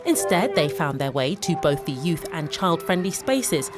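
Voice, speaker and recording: A woman with a lowish voice reads a news report in an even, measured voice-over. Clear music plays underneath her narration.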